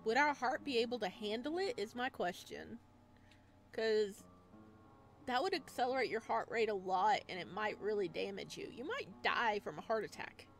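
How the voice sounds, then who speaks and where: A woman's voice: mid-range voice, lively intonation, normal speed. A woman doing a visual novel let's play, reading text aloud and breaking off for chatty, casual asides. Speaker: gaming video host.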